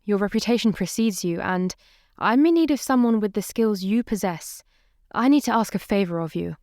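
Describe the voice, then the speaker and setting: A woman's voice: mid-range voice, animated, normal speed, darkish tone, no room sound, clean studio sound, natural voice. A woman playing a gracious, regal empress in a tabletop game, speaking in formal, direct, courtly phrases. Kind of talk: tabletop roleplay acting.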